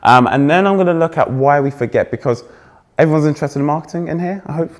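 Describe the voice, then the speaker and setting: Loud, lively young English keynote speaker. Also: male voice, fairly high pitch, quick pace. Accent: trained-out Essex, now fairly posh English. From England. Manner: energetic, joking with the audience, self-deprecating. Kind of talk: conference keynote talk. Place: stage before a live audience.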